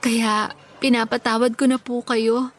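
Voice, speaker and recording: A woman playing a young adult eldest daughter in a radio drama, calm and gently reassuring. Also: a little high, measured pace, lightly acted. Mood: gentle. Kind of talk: Tagalog radio drama acting. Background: soft background music.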